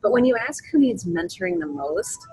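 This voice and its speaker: A woman's voice: medium pitch, big pitch swings, brisk, darkish tone, slightly rough, rough recording. A woman giving conversational, reflective interview answers, explaining her thinking aloud. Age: adult. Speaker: interview guest. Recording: faint background ambient noise.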